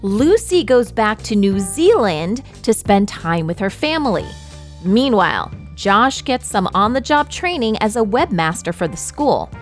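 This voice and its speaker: A woman's voice: medium pitch, very animated, measured pace, faint music behind. A woman hosting and narrating a podcast, playful and teasing, laughing often between questions. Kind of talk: scripted narration mixed with interviews.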